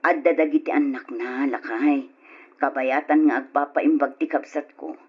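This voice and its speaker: A woman acting a weary, caring mother and long-suffering wife with a husky voice in an old Ilocano radio drama. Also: very deep voice, steady tone, normal speed, lo-fi sound. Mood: pleading.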